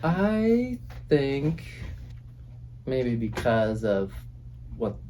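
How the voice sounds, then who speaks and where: A man, a music producer and drummer, talking in a relaxed, halting way in a recording studio about the songs he played on. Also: medium pitch, a little hiss or hum. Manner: reflective, halting, many fillers. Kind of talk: casual conversation.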